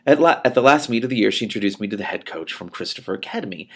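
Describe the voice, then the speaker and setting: A man's voice: mid-range voice, rapid-fire, breathy edge. A man reading a novel aloud expressively, breaking off now and then to add spontaneous comments of his own. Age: adult. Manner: animated, reacts aloud to the text and breaks off to comment. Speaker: reader and commentator of a book read-along show.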